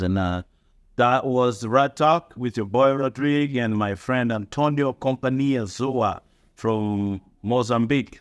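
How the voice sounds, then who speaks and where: A low-voiced man hosts an interview show in a friendly, upbeat manner and laughs easily. He asks long, searching questions. The sound is dry and close, with no room echo. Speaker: host. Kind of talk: casual interview hosting.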